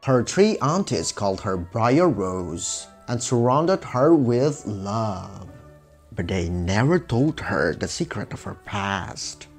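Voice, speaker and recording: A man's voice: lowish voice, sing-song, slow pace, faint music behind. A man narrating a scripted fairy tale for children as a storyteller.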